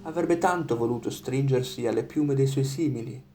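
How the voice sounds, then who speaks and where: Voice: medium pitch, normal speed, warm tone. A storyteller reading a scripted fairy tale narration over instrumental music.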